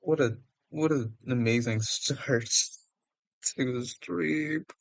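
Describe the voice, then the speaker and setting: A man's voice: medium pitch, takes it slowly, gravelly, no echo. A man giving casual, rambling, self-deprecating commentary on a livestreamed game. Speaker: streamer.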